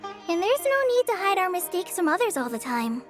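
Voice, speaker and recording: A woman playing a shy, kindly teenage girl in a video game, speaking gently and hesitantly. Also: very high-pitched, big pitch swings, normal speed, soft background music. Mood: reflective, reassuring. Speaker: voice actor in a game. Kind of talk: video game story dialogue.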